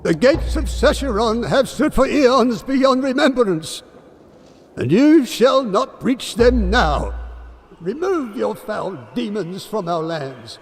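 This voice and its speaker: A defiant warrior chief in a game cinematic. His voice sits fairly high for a man and moves slowly and solemnly. It rings in a long reverb over faint noise. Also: very animated, husky, heavily acted. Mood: defiant, resolute. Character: defiant male barbarian leader guarding his city gates. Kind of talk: dramatic game cinematic acting.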